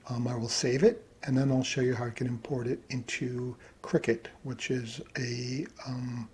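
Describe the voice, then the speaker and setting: A man with a gravelly, creaky voice talks through a casual screen-recorded tutorial. He thinks aloud as he works. There is light background noise with some keyboard clicking behind him. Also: medium pitch, darkish tone. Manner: thinks aloud while working at computer. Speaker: hobbyist presenter.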